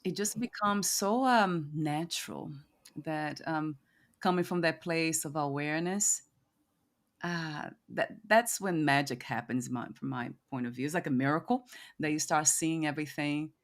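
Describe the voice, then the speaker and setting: Woman, interview host, slightly low voice, reflective, musing, halting as she searches for words. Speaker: podcast host interviewing a guest.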